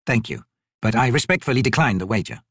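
Audiobook role: nervous, courteous old merchant, read by a man, hesitant, formal, slightly rough voice. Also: medium pitch, lively intonation, a bit bright, dry recording, clean studio sound. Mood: nervous, polite. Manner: slow, hesitant, formally polite. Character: meek old male trader and artisan, nervously polite. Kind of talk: audiobook character voice.

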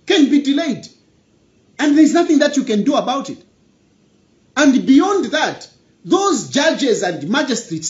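A man's voice: very high-pitched, lo-fi sound. A young Zambian man with a Zambian accent giving an emphatic political commentary indoors, repeating his key phrases and slipping into a local language. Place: indoor room.

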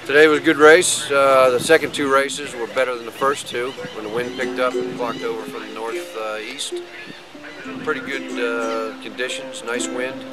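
Flat-toned man over loud guitar music. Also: medium pitch, normal speed, low-quality recording. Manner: upbeat, short clipped phrases. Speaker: sailing class representative. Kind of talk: casual interview. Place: outdoors by trees. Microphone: camera mic.

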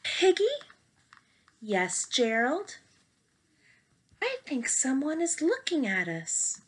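A young woman reading a children's picture book aloud in an expressive, dramatic and playful manner. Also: high-pitched, slow pace, slightly rough. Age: young adult. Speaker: storybook reader. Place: small bedroom, indoors.

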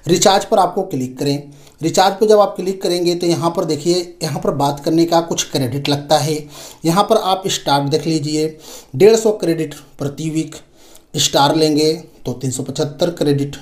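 A man's voice: a little high, a little mellow. A man with an Indian accent hosting an informal step-by-step tech tutorial, addressing viewers directly and repeating his points. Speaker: tech tutorial video host.

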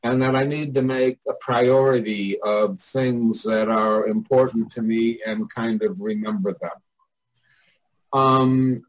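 Slow-talking older man, reflective. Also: medium pitch, low-quality recording. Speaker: artists' coach and mentor. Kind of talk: reflective casual talk.

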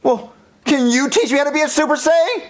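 A man's voice: very high-pitched, brisk, crowd noise. A man voicing a boastful, competitive young boy with an eager, argumentative, childish manner in a comedy skit. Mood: eager, frustrated.

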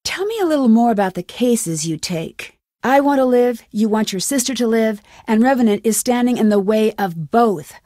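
A woman's voice: a little high, lively intonation, moderate pace, hardly any pauses, mellow, dry recording, clean studio sound, natural voice. A woman voicing a determined, suspicious young amateur detective in a video game, with an earnest, questioning manner. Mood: insistent.

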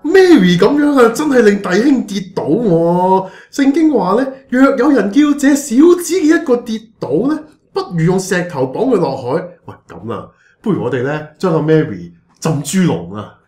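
A preachy, scheming pastor from a comic radio play, acted by a man, speaks Hong Kong Cantonese with theatrical mock-righteousness. His pitch leaps about in animated exclamations, and the room adds an audible echo. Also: normal speed, soft background music. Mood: mock-righteous. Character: preachy, melodramatic, scheming male church pastor. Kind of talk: comedic radio drama acting.

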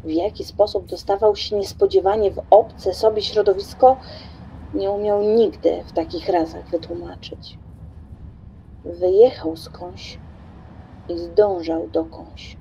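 A woman's voice: medium pitch, fairly even intonation, measured pace, gravelly, warm tone, highs cut off, a little hiss or hum. A woman narrating a radio play from a script, as a storyteller.

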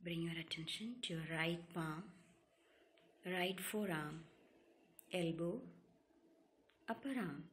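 A woman leads a guided relaxation meditation, giving calm, soothing instructions in short, separated phrases. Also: lowish voice, slow, pauses often, slight background noise. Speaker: yoga nidra meditation guide.

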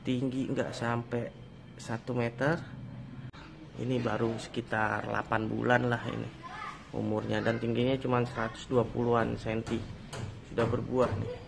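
A man's voice: mid-range voice, monotone, moderate pace, gravelly. A middle-aged man from Indonesia, giving a casual tour of his potted fruit trees like a folksy salesman, filmed on a handheld phone in a small outdoor garden. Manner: folksy salesman, refers to himself in third person. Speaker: durian grower showing his trees. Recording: noisy outdoor phone recording.

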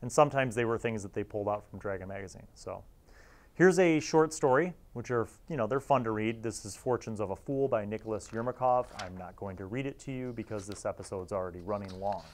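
A man's voice: lowish voice, brisk. A man in his forties hosting a casual, rambling review to camera, speaking as an enthusiastic, nostalgic fan. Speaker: host of a retro tabletop gaming review series. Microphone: lapel mic.

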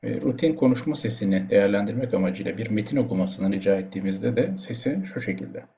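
Male medical presenter, explanatory slide narration. Lower-pitched, soft dark tone. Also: quick pace, muffled recording. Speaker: doctor presenting patient voice samples.

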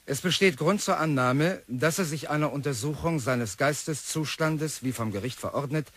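A man's voice: on the high side, normal speed, fairly bright tone, pitched above the performer's own voice. A man reading out a formal newspaper report in a radio drama. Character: male newspaper report read aloud.

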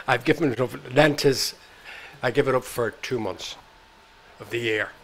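A man's voice: medium pitch, unhurried. An older Irish man with a mid-range voice chats easily and drops dry little jokes as he answers. He is recorded on a phone held close to him in a small pub. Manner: relaxed, wry, joking. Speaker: pub regular. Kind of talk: casual interview. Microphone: phone held close to the face.

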